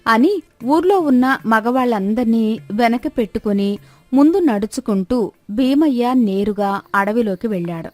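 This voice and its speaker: A woman's voice: fairly high pitch, lively intonation, measured pace, a little mellow, dry recording, faint music behind. A woman reading a scripted moral story as a storyteller. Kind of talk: scripted story narration with acted dialogue.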